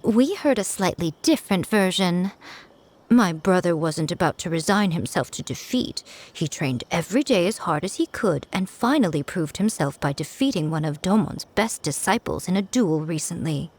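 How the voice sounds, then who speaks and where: Cast: a teasing fox shrine maiden, lilting, amused, animated. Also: female voice, fairly low pitch, moderate pace. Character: sly, teasing fox shrine priestess, young woman.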